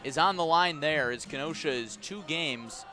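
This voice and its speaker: A man calling baseball play-by-play live on the radio, measured in delivery and punchy on the action. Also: on the high side, music in the background. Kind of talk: live radio sports commentary. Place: outdoor ballpark.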